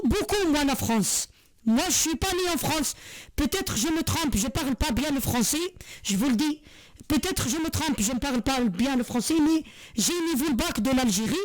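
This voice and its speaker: Woman, high voice, sincere vlog monologue, halting and repetitive. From Algeria. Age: 40s. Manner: earnest, repetitive, many hesitations and restarts. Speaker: vlogger. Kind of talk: heartfelt monologue to camera.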